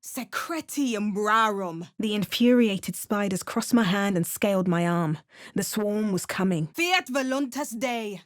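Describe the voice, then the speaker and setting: A woman playing a wry, determined adventurer in a fantasy video game, speaking in a measured, theatrical way. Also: mid-range voice, no echo, clean studio sound, close to an everyday voice. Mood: commanding incantation, then dramatic, measured. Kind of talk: video game voice lines, dramatic narration and incantations.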